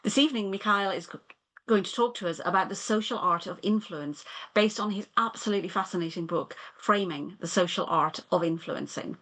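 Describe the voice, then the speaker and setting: A woman hosting an event, reading a measured, scripted introduction of the evening's speaker. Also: mid-range voice, a bit bright. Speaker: event host.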